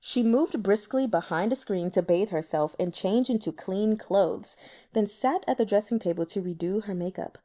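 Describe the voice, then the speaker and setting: A woman's voice: medium pitch, moderate pace, warm tone. A woman reading fiction aloud as an audiobook narrator, in a dramatic storytelling style.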